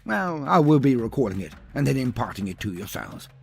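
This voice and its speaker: A man reading scripted fantasy narration as a storyteller, in a pompous, wordy manner with dramatic pauses. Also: mid-range voice, big pitch swings, vocal fry.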